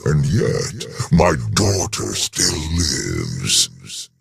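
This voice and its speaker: A deposed old tyrant from a video game, grandiose and full of scorn. His bass voice crackles with gravel and swings theatrically, ringing in a long reverb. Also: wildly swooping pitch, heavily acted. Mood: grand, bitter. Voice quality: gravelly, booming. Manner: pompous, theatrical, drawn-out delivery. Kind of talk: video game villain acting. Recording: roomy long reverb over music.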